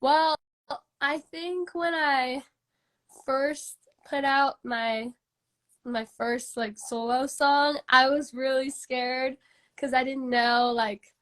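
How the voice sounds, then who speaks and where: Young woman w/ a high stuffy voice, says "like" a lot, laid-back interview chat. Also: steady tone, slow pace, fairly bright tone. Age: 20s. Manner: chatty, lots of "like" and "um" fillers. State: congested. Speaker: singer-songwriter guest.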